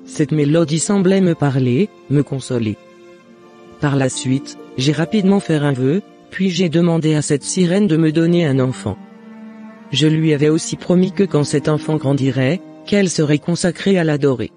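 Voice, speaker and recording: A man voicing a remorseful middle-aged mother in scripted cartoon dialogue, explaining herself in a measured, apologetic way. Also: a little high, dark tone, faint music behind, lightly acted. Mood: solemn.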